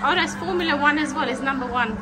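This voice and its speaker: A woman with a very high voice talks from behind a phone camera on a shopping street, cheerful and full of questions. She cheers her child on in a casual, encouraging way. Loud music plays in the background. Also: quick pace, over a noisy crowd. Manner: cheerful, asks many questions, cheers often. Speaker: parent filming her child. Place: shopping street outdoors by a kiddie ride. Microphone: phone camera held by the speaker.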